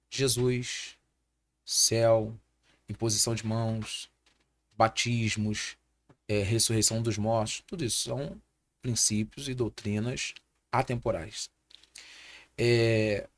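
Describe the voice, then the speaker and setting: A man's voice: medium pitch, fairly bright tone. A man in his thirties with a Brazilian Portuguese accent, a pastor speaking as a podcast guest in a didactic, sermon-like way, repeating key points for emphasis. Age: 30s. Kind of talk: podcast interview with sermon-like teaching.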